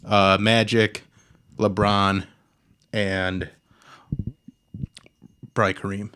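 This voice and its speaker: A man's voice: on the low side, little pitch movement, drawn-out words, bright, forceful tone, smooth. Informal man hosting a casual podcast. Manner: informal, loose, swears casually. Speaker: podcast host. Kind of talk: casual chat.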